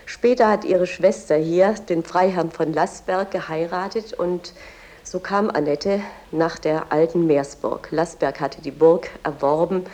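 A woman's voice: on the low side, a little slow, mellow, lightly acted. A woman as a tour guide, explaining a poet's house in a chatty, informative way. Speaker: museum guide.

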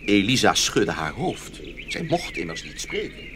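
A man's voice: on the low side, wildly swooping pitch, measured pace, very noisy. A man narrating a fairy tale as a scripted storyteller.

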